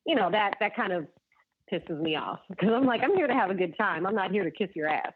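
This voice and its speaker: A female chef speaking as a podcast guest, easygoing and frank, quick to laugh. Her voice is a little husky. It comes through thin and band-limited, like a phone line. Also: mid-range voice, expressive. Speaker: chef, show finalist guest. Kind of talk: casual podcast interview.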